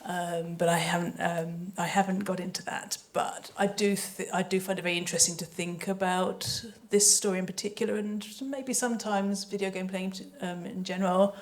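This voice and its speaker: A woman's voice: fairly low pitch, fairly even intonation, normal speed, hardly any pauses. A woman answering in an unscripted panel discussion, tentative and thoughtful, feeling her way through her points. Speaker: audio drama maker on a panel.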